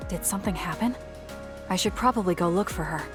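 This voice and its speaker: A woman voicing a level-headed young woman in a video game, speaking calmly and directly. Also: on the low side, quick pace, natural voice. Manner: calm and direct. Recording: music under the dialogue.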